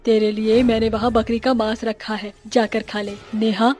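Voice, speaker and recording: A woman's voice: a little high, little pitch movement, moderate pace, natural voice. A woman voicing a matter-of-fact witch mother of a nomad family in a children's story. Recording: music under the voice. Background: music.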